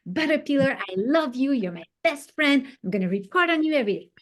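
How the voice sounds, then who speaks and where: A woman voicing an excitable first-grade boy who loves insects, talking in a breathless, gushing rush. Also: high-pitched, fairly quick, pitched above the performer's own voice. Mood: overjoyed.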